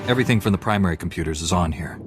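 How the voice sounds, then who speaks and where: An actor playing a calm, principled, resolute heroic knight in a video game, earnest and firm, with music behind. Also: male voice, deep voice, rapid-fire, lightly acted.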